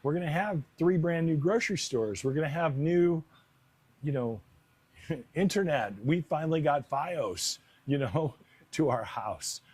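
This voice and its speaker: A man's voice: fairly high pitch, expressive, measured pace, a little mellow, breathy edge, no echo. A man with an American accent, an announcer by trade, answering interview questions conversationally. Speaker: stadium announcer being interviewed.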